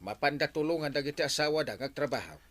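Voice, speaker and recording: A man's voice: a little high, lively intonation, fast, no echo, lightly acted. A man acting an exasperated village captain in an Ilocano radio comedy drama, scolding and giving orders. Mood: firm, calmer. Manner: scolding, commanding. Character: exasperated male village captain breaking up quarrels.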